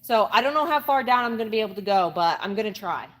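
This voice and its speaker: A woman's voice: mid-range voice, animated, fast, a bit bright. A woman in her late twenties chatting casually and informally on a live workout stream, often repeating herself. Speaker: livestreamer doing a workout live. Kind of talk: casual livestream chat while exercising. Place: indoor gym. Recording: noisy gym ambience, varying mic distance.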